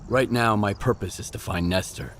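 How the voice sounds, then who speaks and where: An actor playing a terse, determined mercenary loner in a video game, speaking bluntly in few words. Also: male voice, lowish voice, normal speed, a bit bright, slight background noise, close to an everyday voice. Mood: businesslike. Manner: laconic, blunt. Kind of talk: video game cutscene dialogue.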